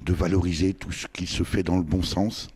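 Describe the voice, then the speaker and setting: A man's voice: deep voice, fairly even intonation, moderate pace, darkish tone, slightly rough. A man hosting a radio program, asking a guest conversational, probing questions. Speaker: radio host. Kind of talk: radio interview and presenting.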